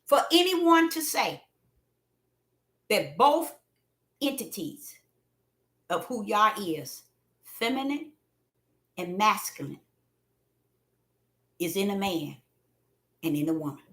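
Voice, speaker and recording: Woman preacher, bright high voice, lively swooping pitch, slow deliberate delivery, echoey room. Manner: preachy, repetitive, rising to fervent shouts. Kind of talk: livestream sermon.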